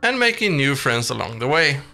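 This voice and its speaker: A man narrates a scripted game review in a very bright, forward voice. There is a clear gravelly creak to it, and music plays faintly behind him. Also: medium pitch, big pitch swings, normal speed. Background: background music.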